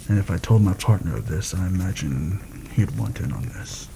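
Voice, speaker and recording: A man playing a seasoned fantasy assassin in a soft-spoken ASMR roleplay, measured and deliberate, wary and proud. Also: low voice, lively intonation, gravelly, noisy background. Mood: guarded interest.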